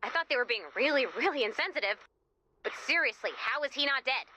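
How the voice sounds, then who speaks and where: A woman playing an eager, soft-hearted young guide in a video game, chatty and expressive in a conversational way. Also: high voice, fast talker, exaggerated voice. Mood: wry, concerned.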